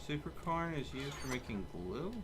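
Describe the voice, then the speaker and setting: A man's voice: mid-range voice, lively intonation, normal speed, background noise. A man hosting a livestream, chatting casually and giving instructions to his teammates as he plays a game. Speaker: livestream host and player. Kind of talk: casual gaming stream chat, giving team instructions.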